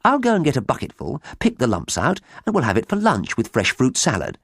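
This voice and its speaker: A man's voice: mid-range voice, huge pitch swings, a little fast, creaky, a bit bright, husky, no echo, clean studio sound, voice pushed up, full character voice. A man voicing a practical, unbothered, cheerful man in a storybook, speaking matter-of-factly. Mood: cheerfully practical. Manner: matter-of-fact.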